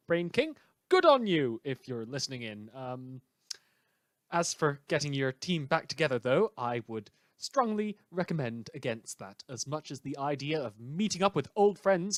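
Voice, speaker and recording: A man playing a rambling, conflicted late-night radio host in a post-apocalyptic audio drama, with a wry, halting, self-correcting manner. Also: medium pitch, no room sound, lightly acted. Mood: wistful, conflicted.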